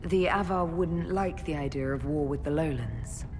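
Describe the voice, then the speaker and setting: A woman playing a composed, diplomatic leader in a video game, measured and inquiring. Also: low voice, in a large hall, music in the background, close to an everyday voice. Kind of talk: video game dialogue acting.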